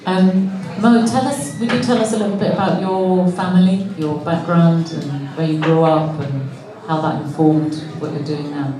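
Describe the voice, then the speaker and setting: A woman interviewer asking questions in a measured, reflective way. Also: lowish voice, fairly even intonation, far from the mic, noisy background. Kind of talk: conversational interview. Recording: echoey room, voice from off mic.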